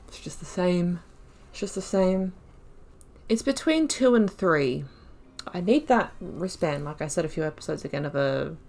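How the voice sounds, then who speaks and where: A woman's voice: on the low side, a bit bright. A woman giving let's-play commentary, musing aloud in a chatty way and laughing often. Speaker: YouTuber playing a video game.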